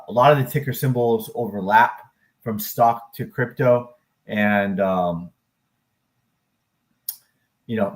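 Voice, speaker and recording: Rambling, chatty male livestream host. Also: fairly low pitch. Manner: rambling, chatty, self-deprecating, with asides to the chat. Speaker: crypto and stock chart livestream host. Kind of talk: casual livestream chat.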